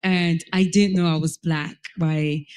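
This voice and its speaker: A woman's voice: low-pitched, fairly even intonation, unhurried, darkish tone, clean tone. A woman from Ghana moderating a panel, speaking in a reflective, conversational way with frequent fillers.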